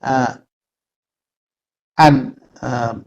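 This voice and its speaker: A man with an Indian English accent, a native Nepali speaker, giving an online lecture in a halting way with many fillers, over a video call. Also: mid-range voice, steady tone, a little mellow, highs cut off.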